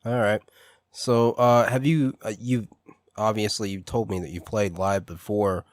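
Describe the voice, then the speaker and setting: A man hosting a casual interview, chatty and quick with short repeated reactions. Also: lowish voice, steady tone, dry recording, clean studio sound. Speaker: radio show host.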